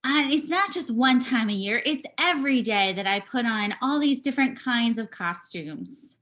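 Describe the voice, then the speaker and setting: A woman giving a live spoken interpretation of a signing performer, speaking in halting bursts with pauses and restarts, over a video call. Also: fairly high pitch, animated, fairly bright tone. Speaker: sign language voice interpreter.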